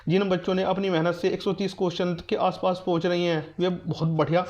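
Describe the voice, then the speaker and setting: High-pitched, fast-talking man. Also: level tone, a little mellow. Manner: repetitive, reassuring, instructive. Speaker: exam news and guidance YouTuber. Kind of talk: informal exam update monologue addressed to students. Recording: dull, lo-fi muffled sound.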